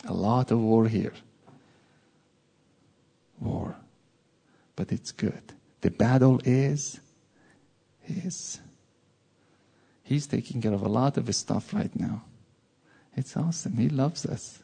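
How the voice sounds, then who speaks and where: A man's voice: medium pitch, slow, gravelly, pauses often, warm tone, husky. A man from Iran with a Persian accent, giving an informal sermon with jokey asides. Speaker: preacher.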